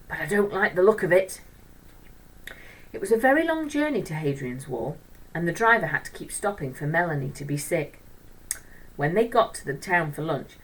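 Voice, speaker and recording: Expressive woman reading a children's story. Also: mid-range voice, recorded from a distance, rough recording. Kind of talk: expressive children's audiobook-style narration.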